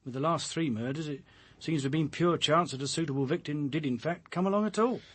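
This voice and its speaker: A man's voice: a little high, quick pace, husky, no room sound. A man playing a weary, haunted police detective in a radio drama, brooding and grimly emphatic.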